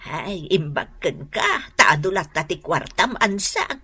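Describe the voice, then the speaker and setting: A woman's voice: lowish voice, big pitch swings, slow, bright, forceful tone, slightly rough, exaggerated voice. A woman playing a friendly, gossipy older snack vendor in a comedic radio drama, with an Ilocano accent. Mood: friendly, grateful.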